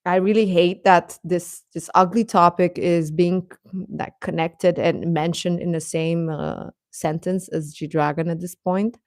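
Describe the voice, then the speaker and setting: A woman with a slightly low voice hosts a casual livestream, talking straight to her viewers. Her delivery is halting and conversational, with hesitations as she thinks aloud. The sound is close and dry, with little room in it. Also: fairly even intonation, darkish tone, no room sound. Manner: hesitant, halting, chuckles often while talking. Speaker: livestream host reading viewer comments. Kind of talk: casual livestream chat commentary.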